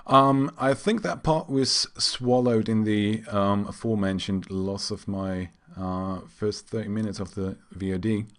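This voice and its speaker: A man from Germany, an English speaker, giving casual and somewhat halting gaming commentary. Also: on the low side, fairly even intonation, fairly bright tone. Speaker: game streamer. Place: indoors at a computer.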